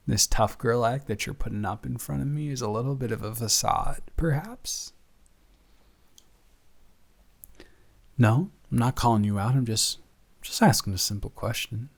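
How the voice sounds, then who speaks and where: A man playing a teasing, affectionate young roommate who gets easily flustered, speaking haltingly and conversationally in an intimate improvised audio roleplay. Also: medium pitch, creaky. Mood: playful, tender. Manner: halting, conversational, self-correcting.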